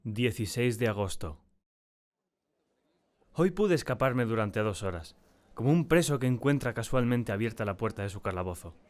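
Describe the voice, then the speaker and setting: A man acting a tormented, terrified diarist in a dramatic first-person audiobook narration, with a solemn and deliberate, theatrical delivery. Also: medium pitch, lively intonation, close to an everyday voice. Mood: uneasy, brooding. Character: tormented, terrified man confiding in his diary.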